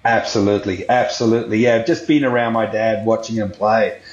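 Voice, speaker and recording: A man from South Africa, a drummer, chatting as a guest on a video call interview in a relaxed, rambling way. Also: fairly low pitch, brisk. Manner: relaxed, rambling, many fillers. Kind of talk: casual interview chat. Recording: roomy, dull video-call audio.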